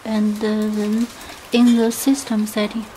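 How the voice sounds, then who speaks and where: Lady walking thru device setup step by step, real slow n choppy, noisy room w clicks. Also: medium pitch, little pitch movement, warm tone. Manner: halting, fragmented phrasing. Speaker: product demonstrator. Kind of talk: unscripted step-by-step product tutorial.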